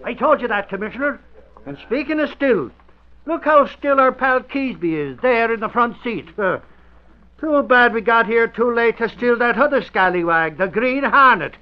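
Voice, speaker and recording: Bumbling sidekick, super high voice, excitable n chatty, dull old radio sound. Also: male voice, moderate pace. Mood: indignant, chatty. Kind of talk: 1940s radio drama acting.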